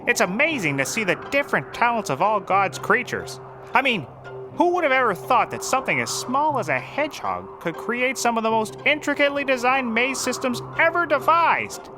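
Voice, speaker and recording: An actor playing a cocky, oblivious detective who rambles with pompous, deadpan self-assurance in a scripted audio comedy. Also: male voice, extremely high pitch, moderate pace, music in the background. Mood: dreamily confident. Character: cocky dim-witted male private detective, oblivious and drug-loving.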